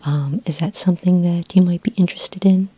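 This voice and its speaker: Breathy, low-pitched whispering ASMR woman. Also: steady tone, fairly quick, no echo. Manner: gentle, helpful, hesitant. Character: friendly, patient futuristic library librarian. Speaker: ASMR roleplay performer. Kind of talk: soft-spoken ASMR roleplay. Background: computer keyboard typing.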